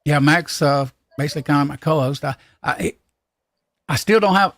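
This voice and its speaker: A man hosting a casual livestream chat, talking in a rambling, halting, folksy way. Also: a little high, fairly bright tone, dry recording, clean studio sound. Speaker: livestream host.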